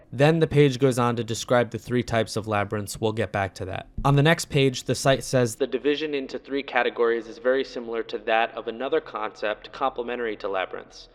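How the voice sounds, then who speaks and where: Male video essayist, mid-pitched, deliberate and earnest, scripted analysis read with emphatic phrasing.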